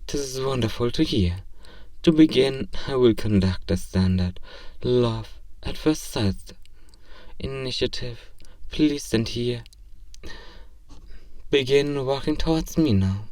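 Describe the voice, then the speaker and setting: A polite robot from another world , acted by a man, speaks slowly in formal, stilted sentences. He is earnest and curious, naive about human romance, in a roleplay audio drama. The voice sits a little away from the microphone. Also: mid-range voice, animated, a little mellow. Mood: polite, pleased. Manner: formal, stilted, literal, precise phrasing. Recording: roomy, slightly distant microphone.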